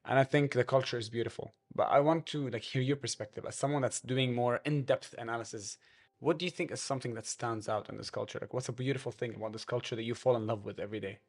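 A man's voice: medium pitch, quick pace, slightly rough. A man in his thirties co-hosts an interview at a studio microphone. His questions run long, with repeated words and restarts as he works toward his point. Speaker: podcast co-host. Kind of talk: casual interview talk. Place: podcast studio. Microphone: studio mic on stand.

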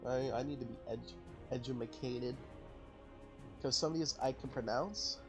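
A man's voice: mid-range voice, normal speed. A man talks over his strategy game in a loose, thinking-aloud way, often stopping to correct his own math. His voice sits in a middle range. Faint game music plays underneath. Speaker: gaming channel host. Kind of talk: casual let's-play commentary.

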